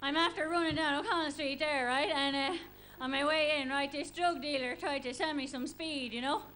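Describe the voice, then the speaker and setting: Woman playing a high, chatty Irish sportswoman. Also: fairly even intonation, normal speed, pushed and bright. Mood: chatty, upbeat. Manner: chatty, rambling, full of verbal tags. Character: impression of a chatty, naive female Irish athlete. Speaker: comedy show host, stand-up comedian. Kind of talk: stand-up monologue in character. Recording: live stage with crowd, faint noise. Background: live audience.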